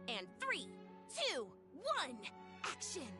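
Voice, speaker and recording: A woman voicing a brisk, cheerful young film director in a video game, commanding and upbeat. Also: extremely high pitch, huge pitch swings, over music, heavily acted.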